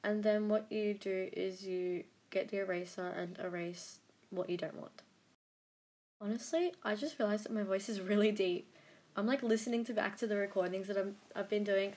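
A woman's voice: medium pitch, darkish tone. A young woman narrating a drawing-app editing tutorial in a casual, rambling way, full of fillers and self-deprecating asides. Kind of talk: casual screen-recorded tutorial.

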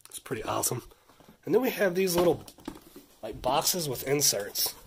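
A man's voice: a little high, expressive, normal speed, slight background noise. A man in his thirties, congested from a sinus infection, chatting casually as he shows off a haul indoors. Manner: chatty, easily impressed, drifts into a rant. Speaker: vlogger showing a haul. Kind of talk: casual haul vlog chat.

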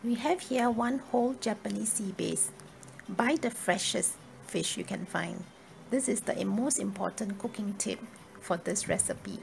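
A woman narrates a scripted recipe as a step-by-step guide. Her voice is heavy with vocal fry and sits at an ordinary female pitch. Music plays behind her. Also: rough recording. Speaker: cooking video narrator.

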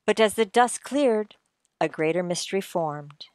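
A woman's voice: medium pitch, lively intonation, a little slow, a little mellow, no room sound. A woman narrating a radio mystery in a dramatic, scripted style.

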